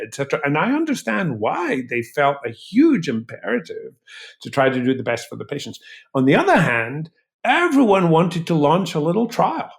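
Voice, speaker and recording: A man speaking as an expert interview guest, explaining in emphatic, articulate, measured phrases. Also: a little high. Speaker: physician researcher, interview guest.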